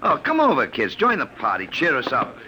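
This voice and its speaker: An actor playing a jovial, wisecracking Hollywood film director in a classic radio drama, breezy, boisterous and full of slang. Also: male voice, mid-range voice, wildly swooping pitch, brisk, noisy background. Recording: old radio recording with crowd chatter.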